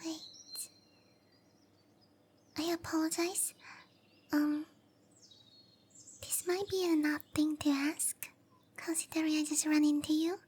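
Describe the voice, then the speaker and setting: Casting: petite fantasy cowgirl for roleplay ASMR. Extremely high voice, unhurried, bashful, soft-spoken, longing for adventure. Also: level tone. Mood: flustered, shy. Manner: hesitant, apologetic, soft-spoken with breathy delivery. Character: tiny, shy young cowgirl monster girl yearning for adventure. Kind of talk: roleplay ASMR, anime-style character acting.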